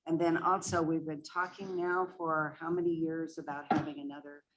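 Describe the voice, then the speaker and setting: A woman's voice: sits low, little pitch movement, moderate pace, fairly bright tone, low-quality recording. A woman on a city council, arguing her point earnestly in discussion.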